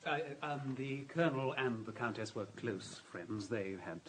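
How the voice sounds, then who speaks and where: An actor playing a flustered, deferential hotel manager in a radio drama, polite and halting, choosing words discreetly. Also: male voice, mid-range voice, animated, a little hiss or hum, natural voice. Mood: hesitant, discreet.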